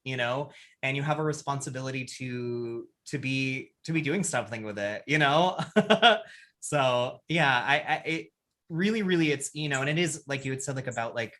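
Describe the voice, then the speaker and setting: A man talking casually as a guest on a charity livestream, loud and animated, full of fillers. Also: medium pitch. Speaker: guest creator.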